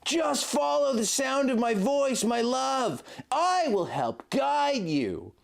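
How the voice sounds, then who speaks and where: Man putting on a soaring, breathy young hero, earnest and doting, pitch swooping high. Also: very animated, takes it slowly, exaggerated voice. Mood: tender heroic.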